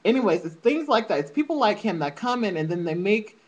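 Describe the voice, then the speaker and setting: Sassy, emphatic woman with a lively voice. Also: mid-range voice, a little fast, lo-fi sound. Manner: sassy, emphatic, calls listeners honey. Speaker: vlogger. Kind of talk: casual vlog rant.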